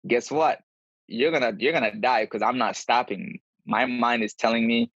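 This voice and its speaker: A man's voice: mid-range voice, moderate pace, a bit bright, no room sound. A man talking casually and earnestly as an interview guest, telling his own experiences over a video call. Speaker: podcast guest, endurance runner. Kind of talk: casual conversational interview answers. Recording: dull lo-fi video call audio.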